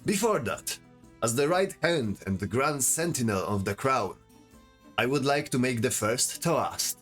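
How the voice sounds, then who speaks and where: Man playing royal herald, pompous, proclaiming, animated pitch, fantasy audio drama, faint music. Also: unhurried. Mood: proud, welcoming. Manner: grand, proclaiming delivery. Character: pompous royal herald and grand sentinel addressing a feast. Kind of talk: audio drama ceremonial speech.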